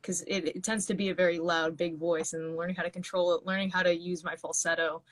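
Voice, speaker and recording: A woman's voice: fairly low pitch, level tone, quick pace, no room sound. A woman musician, chatting on a video call as an interview guest, talking in loose, rambling sentences with many restarts. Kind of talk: casual interview chat.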